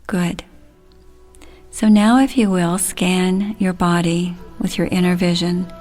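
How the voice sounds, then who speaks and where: A woman leading a guided relaxation, speaking soothingly and gently in short, unhurried phrases. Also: fairly low pitch, steady tone, faint music behind.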